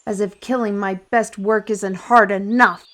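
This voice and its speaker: A woman playing a weary, irritable, paranoid artist with magical abilities in a fantasy audio drama, grumbling and halting. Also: medium pitch, steady tone, fairly bright tone, natural voice. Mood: irritated, bitter.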